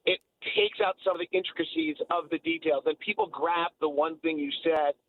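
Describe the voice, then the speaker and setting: A man's voice: on the high side, very animated, moderate pace, gravelly, narrow, tinny audio. A man giving emphatic, opinionated basketball analysis in a sports radio conversation, repeating phrases to drive points home. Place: radio talk show.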